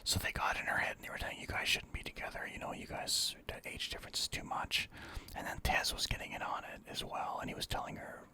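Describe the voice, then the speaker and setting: A man telling a personal story casually in a breathy whisper, animatedly acting out both sides of conversations. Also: fast. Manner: animated retelling with quoted dialogue, swearing freely.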